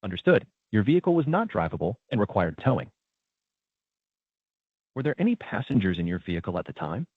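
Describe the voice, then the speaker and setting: A man's voice: on the low side, very animated, fairly quick, pauses often, slightly rough, no room sound. A man's synthetic voice acting as a polite, procedural automated claim agent with scripted customer service lines. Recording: narrow tinny phone-like sound.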